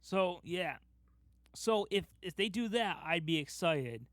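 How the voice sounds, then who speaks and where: A man with a slightly high-pitched voice hosts a casual fan discussion. He is enthusiastic and emphatic, often repeating points for stress. The sound is very dry, with no room echo. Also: expressive, slow pace, fairly bright tone. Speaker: podcast host.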